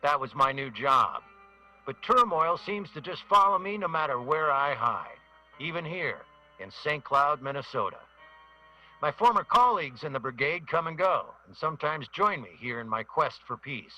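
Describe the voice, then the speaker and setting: An actor playing a world-weary semi-retired mercenary turned private detective, narrating an old-time radio adventure in a wry way. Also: male voice, on the high side, lively intonation, moderate pace, pushed and bright, poor audio. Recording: music bed behind the voice.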